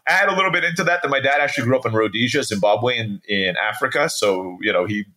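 A man's voice: mid-range voice, quick pace, sharp tone. An American man in his forties telling personal stories casually as an interview guest, often tagging sentences with "right?". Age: 40s. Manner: conversational, peppered with "right?" tags.

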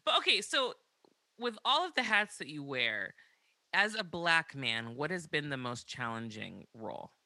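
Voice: medium pitch, sing-song, unhurried, sharp tone, dry recording. An interviewer asking questions in a casual conversation, supportive, with frequent agreeing backchannels.

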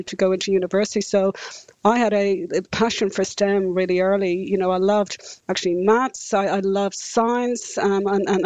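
A woman's voice: mid-range voice, darkish tone, dry recording. A woman in her fifties from rural Ireland with an Irish accent, a guest in a podcast interview who often repeats words and restarts her sentences. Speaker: interview guest, engineering company director.

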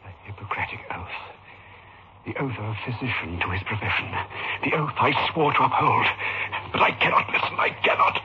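An actor playing a tormented, obsessed surgeon in a melodramatic 1960s radio horror drama, brooding and halting. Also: male voice, fairly low pitch, lively intonation, natural voice. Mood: anguished. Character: tormented surgeon obsessed with his own deadly hands. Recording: noisy, muffled old radio recording.

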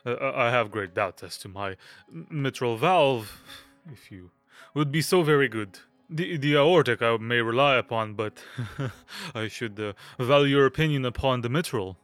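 A man playing a fussy, nervous, hypochondriac young gentleman in an audio drama, stammering and obsequious. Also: mid-range voice, lively intonation, moderate pace, no room sound, soft background music, close to an everyday voice. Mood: anxious. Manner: stammering, fidgety, obsequious, nervous little laughs.

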